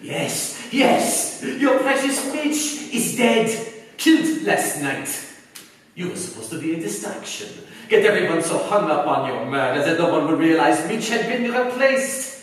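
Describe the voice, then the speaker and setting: An actor playing a fanatical, boastful Axis saboteur in a staged radio play, with a theatrical, sneering delivery. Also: male voice, high voice, big pitch swings, a little slow. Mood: bitter, spiteful. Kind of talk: staged 1940s-style radio play acting. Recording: echoey stage, distant mic, faint noise.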